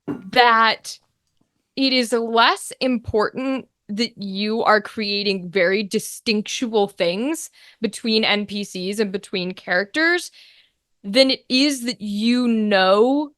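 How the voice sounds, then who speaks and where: A woman's voice: on the high side, slow pace, bright, forceful tone, no echo. A woman speaking casually as an interview guest, talking in an emphatic, informal way as a voice actor and game master.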